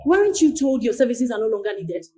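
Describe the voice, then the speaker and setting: An actress playing an agitated, frightened wife who is shrill and tearful. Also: female voice, very animated, fast talker, mellow. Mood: angry. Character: distraught frightened wife, angry then tearful. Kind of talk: film drama acting.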